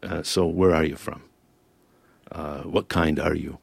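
A very deep-voiced man with a gravelly rasp, reading his own essay and then talking it through in interview. He is thoughtful and wry, and the sound is close and dry. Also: steady tone, unhurried, no echo. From Lebanon-born, raised in Toledo, Ohio. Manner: thoughtful, wry and self-questioning. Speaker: author and interview guest.

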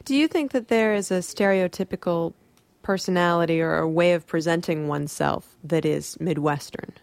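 A woman interviewer introducing her guest and asking calm, curious questions. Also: lowish voice, moderate pace, creaky, no room sound. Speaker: radio interviewer.

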